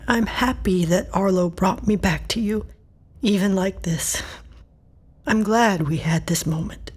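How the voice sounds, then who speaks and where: Frail woman near death: husky, unhurried, tender but stubborn, for audio drama. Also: mid-range voice. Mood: wistful, fading.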